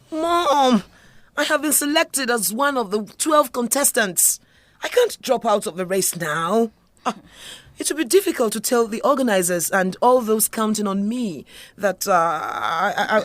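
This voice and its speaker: A woman's voice: medium pitch, animated, unhurried, no echo, close to an everyday voice. A woman playing a headstrong young daughter in an audio drama, with a Nigerian accent and a pleading, exclamatory delivery. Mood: insistent.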